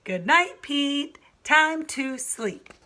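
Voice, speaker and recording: Storybook cool cat, male, groovy and easygoing, bright delivery played by a woman reader. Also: high-pitched, lively intonation, drawn-out words, voice pushed up, heavily acted. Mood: sleepy, firm. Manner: hip, easygoing slang. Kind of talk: children's storybook read-aloud.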